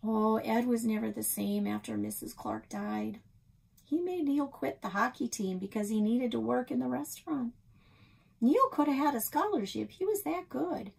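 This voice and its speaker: A woman's voice: on the high side, normal speed, creaky, a little mellow, husky, pitched above the performer's own voice, exaggerated voice. An American woman voicing a chatty, gossipy small-town grandmother who runs a shop, in a storybook read-aloud. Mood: defensive, fond.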